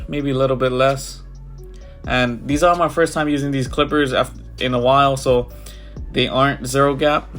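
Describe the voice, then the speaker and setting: A barber with a congested, stuffy voice, talking casually over his haircut tutorial. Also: medium pitch. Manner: casual, loose, filler-heavy walkthrough. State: congested from a cold.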